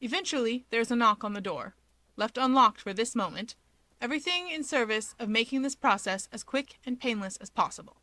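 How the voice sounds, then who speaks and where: Bright-voiced woman reading a story. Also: a little high, animated, a little fast, dry recording. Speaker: story reader. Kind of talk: scripted fiction narration.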